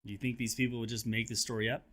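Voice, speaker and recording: A man hosting a children's read-aloud, telling the story in a dramatic, expressive way with chatty asides. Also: mid-range voice, very fast. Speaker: host and teacher reading aloud to children.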